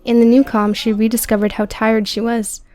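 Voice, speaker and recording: Woman, audio book narrator, flat steady intonation, higher voice, scripted storytelling. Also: normal speed.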